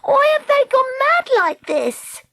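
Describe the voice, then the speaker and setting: A frightened young storybook girl, voiced by a woman, squealing well above an adult pitch. She sounds anxious and urgent, easily panicked, in a close, dry recording. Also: lively intonation, normal speed, dry recording.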